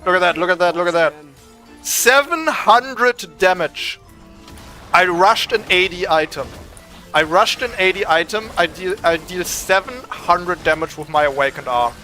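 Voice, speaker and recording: A young man with a German accent, giving excitable, swearing, trash-talking gameplay commentary. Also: high-pitched, measured pace, faint music behind. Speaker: gaming streamer.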